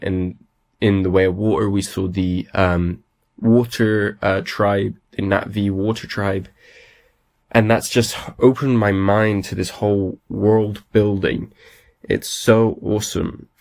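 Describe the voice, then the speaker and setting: Raspy-voiced man with a cold. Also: lowish voice, darkish tone. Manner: enthusiastic, rambling with many restarts. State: has a cold. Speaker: podcast host. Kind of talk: casual solo podcast talk.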